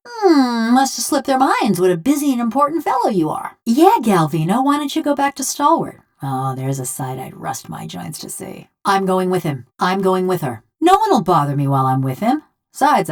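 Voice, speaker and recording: A sardonic video game construct, a rough country killer nursing a grudge. Her voice sits a little low for a woman and moves in wide, lively swings. She speaks in folksy, ungrammatical phrases with a taunting, bitter edge. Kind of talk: video game character dialogue.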